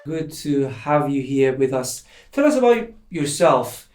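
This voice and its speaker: A man's voice: medium pitch, sing-song, a little slow, darkish tone, faint music behind. A man hosting a casual interview, asking questions and backing the guest up with short encouraging replies. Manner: encouraging, frequent short affirmations. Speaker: interviewer, host. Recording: echoey room, distant from the mic.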